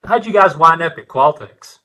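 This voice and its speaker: A man's voice: fairly high pitch, expressive, normal speed, sharp tone, poor audio. A man with an East Texas accent, guest-hosting a casual podcast interview over a video call and often restarting sentences as he talks. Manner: friendly, repeats words when starting sentences.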